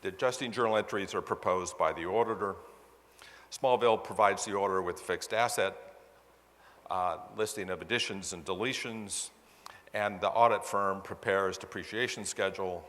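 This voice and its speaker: A low-voiced man presents to a meeting in a long, ringing hall. His tone is forceful and bright, and he explains things step by step like a conference speaker. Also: moderate pace. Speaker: presenter introducing a dramatized case study. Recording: echoey hall with long reverb.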